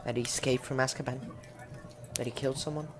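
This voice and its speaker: Woman as brave, polite teenage boy wizard, very deep pitch, audio drama, noticeable noise behind. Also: steady tone, fast talker. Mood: polite, reassuring. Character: polite thirteen-year-old boy wizard, modest and brave. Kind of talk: fan audio drama acting. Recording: clear background noise.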